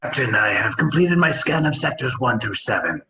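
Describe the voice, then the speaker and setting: A dutiful alien soldier acted by a man reporting to a superior in a sci-fi read. The voice is mid-range, sharp and forceful, with clipped military phrasing. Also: mid-range voice, very animated, normal speed. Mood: dutiful, nervous. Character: dutiful subordinate alien male pilot reporting to captain.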